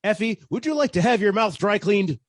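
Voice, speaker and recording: A man's voice: high voice, animated, fairly quick, no room sound, studio quality, voice pushed up. A man playing an irritable, wisecracking private detective with sardonic, theatrical delivery in the style of 1940s radio. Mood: irritated, bossy. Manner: sardonic, theatrical, hard-boiled.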